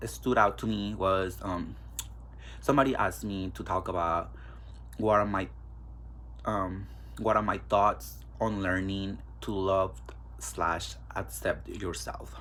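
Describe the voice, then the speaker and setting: Man, mexican accent, very deep voice, unhurried, faint hum behind, loose and chatty. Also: fairly even intonation, a bit bright. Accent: Mexican Spanish. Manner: loose, profane, chatty, rambling. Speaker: podcast host. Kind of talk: casual solo podcast chat.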